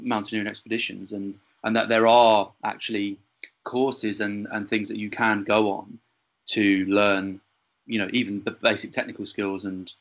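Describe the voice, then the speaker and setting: Hesitant low-voiced male podcast host. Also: fairly bright tone, dull audio. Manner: hesitant, many fillers and restarts. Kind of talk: podcast interview.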